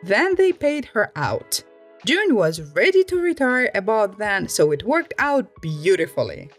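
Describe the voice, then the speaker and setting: A woman's voice: medium pitch, very animated, a little slow, soft background music. A woman reading online stories aloud as a host, in scripted storytime narration.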